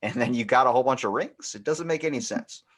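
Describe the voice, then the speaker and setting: A man's voice: mid-range voice, fast, a bit bright. A man hosting a podcast in casual, comedic chat, rambling and slangy as he jokes. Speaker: podcast host.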